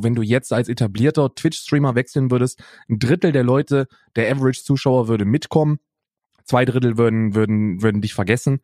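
A man's voice: mid-range voice, darkish tone, no echo. Young German man, dry close podcast sound. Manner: slangy, emphatic, repeats phrases. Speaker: podcast co-host. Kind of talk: casual unscripted podcast banter.

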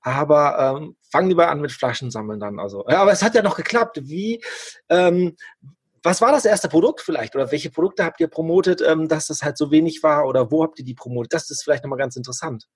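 A man's voice: on the high side, lively intonation, fairly quick, slightly rough. A man hosting a podcast, chatting casually and teasing his guests in an informal way. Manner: joking, informal. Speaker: podcast host. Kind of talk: casual interview chat.

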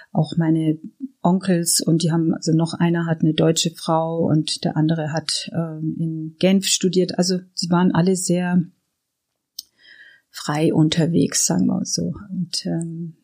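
Casting: middle-aged woman, lower-pitched, interview guest telling personal memories in a reflective, searching way. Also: flat delivery, measured pace, creaky, darkish tone. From Iran. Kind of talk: casual personal reminiscence.

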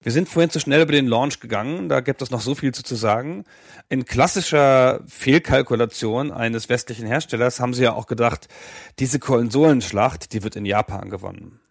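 A man in a casual podcast discussion, recounting events in a measured, reflective way. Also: medium pitch, dry recording, clean studio sound.

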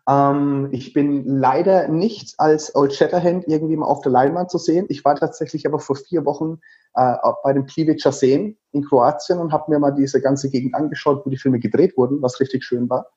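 A man in his thirties with a Franconian German accent, talking as an interview guest in a lively, jokey, rambling way with many fillers. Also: a little high, moderate pace. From Lower Franconia, Germany. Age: 30s. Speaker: guest, travel speaker. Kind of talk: casual interview talk. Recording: slightly dull lo-fi sound.

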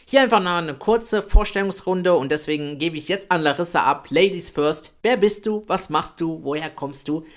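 A man in his late twenties co-hosting a podcast, talking in a chatty, energetic way about building an online business. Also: on the high side, normal speed, few pauses. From Italy. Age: 20s. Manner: energetic, chatty, swears lightly. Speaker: podcast co-host. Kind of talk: casual podcast chat.

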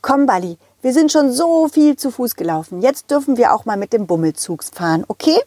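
A woman voicing a cheerful, eager little male dog who talks excitedly to children in an audio play. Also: a little high, fairly quick, no room sound. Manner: excitable, addresses children directly.

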